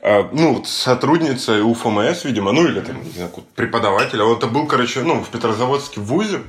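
A man's voice: medium pitch, fast talker, a little hiss or hum. A young man co-hosting a casual podcast, with a voice in the middle of the range. He tells his story with animation, acting out other people's lines and swearing freely. The tone stays loose and conversational throughout. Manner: animated retelling with acted-out quotes and heavy swearing. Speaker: podcast co-host.

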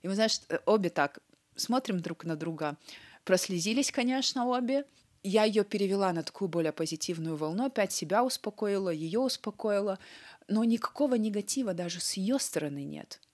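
A young adult woman surgeon from Russia, Ossetian her first language, telling stories earnestly with lively acted-out quotes in an interview. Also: medium pitch, brisk. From Russia (North Ossetia). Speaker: cardiac surgeon, interview guest. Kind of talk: conversational interview answers.

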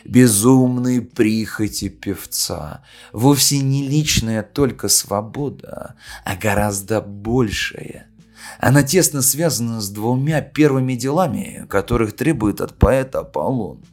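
A man playing a solemn poet who delivers a memorial speech in a measured, declamatory way with deliberate pauses. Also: mid-range voice, a little mellow. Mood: solemn, argumentative. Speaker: reader of a poet's speech in an audio play. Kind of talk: literary oration, declaimed reading.

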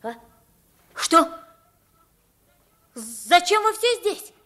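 A woman's voice: very high-pitched, sing-song, drawn-out words, a bit bright, heavily acted. A woman playing a frightened, bewildered poor boy in a theatrical radio play. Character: frightened, bewildered pauper boy mistaken for the king.

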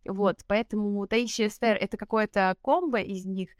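A woman's voice: medium pitch, fairly quick, no echo, clean studio sound. A woman in her twenties, a guest in an interview, talking reflectively with many fillers and restarts. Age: 20s. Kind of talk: casual interview talk.

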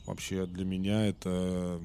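A man with a low, dark, mellow voice hosts his own podcast while a little under the weather, clearing his throat now and then. He tells his story loosely, full of fillers and self-corrections. Faint background noise sits under his voice. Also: level tone, measured pace. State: slightly sick, occasional throat clearing. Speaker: podcast host. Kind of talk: casual storytelling monologue.

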